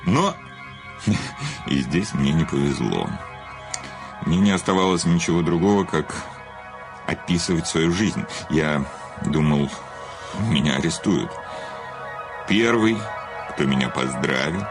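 A vain, menacing male writer of lurid fame in a radio play. His very low voice swings widely in grand, sardonic flourishes, with faint music underneath. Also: expressive, slow pace, pauses often, full character voice. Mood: sardonic, boastful. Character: cynical, vain famous male writer, menacing confessed killer.

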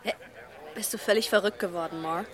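A woman's voice: mid-range voice, fairly quick, a faint crowd, close to an everyday voice. An actress playing a sensible teenage girl, a reproving but friendly companion, in audio drama dialogue. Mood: exasperated.

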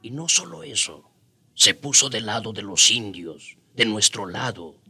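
Low, bright-voiced island storyteller. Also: male voice, big pitch swings, unhurried, husky, heavily acted. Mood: reflective narration. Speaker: narrator. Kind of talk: dramatised narration for radio drama.

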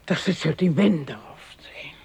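An actress playing a feisty, gruff old grandmother with a blunt, emphatic way of talking in a radio drama. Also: sits low, expressive, a little slow, darkish tone, exaggerated voice. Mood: indignant. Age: older.

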